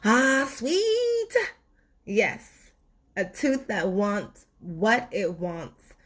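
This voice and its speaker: A woman narrating a children's storybook expressively, with deliberate, dramatic phrasing. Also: mid-range voice. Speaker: storybook reader.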